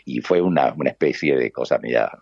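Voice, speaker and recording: An older man with an Argentine Spanish accent who has spent a career as a radio announcer. His voice sits extremely low, with a gravelly, booming texture. The recording is dry and close, with a slightly muffled, lo-fi sound. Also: expressive, a little fast, no room sound. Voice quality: deep booming voice. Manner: warm reminiscing, acts out quoted voices. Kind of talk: conversational storytelling.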